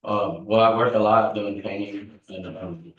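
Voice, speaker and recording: A man's voice: fairly low pitch, fairly even intonation, unhurried, narrow, tinny audio. A man in his thirties standing as a defendant in court, answering nervously and stumbling over his words. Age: 30s. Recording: echoey courtroom, distant mic.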